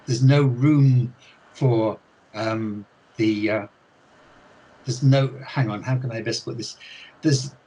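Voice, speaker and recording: An older man speaks slowly in a husky voice, as an author being interviewed. He thinks aloud, pausing and circling back over his words as he explains his ideas. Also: mid-range voice, darkish tone, rough recording. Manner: thoughtful, many restarts and hesitations. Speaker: author and ELT expert guest. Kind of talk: unscripted interview talk.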